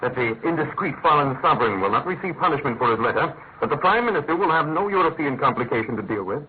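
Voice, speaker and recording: Male actor as shrewd detective, commanding, incisive, fast, fairly high voice, radio drama, tinny noisy recording. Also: expressive, close to an everyday voice. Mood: confident. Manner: imperious, incisive, urgent. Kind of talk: classic radio drama acting. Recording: tinny, narrow-band old radio, faint noise.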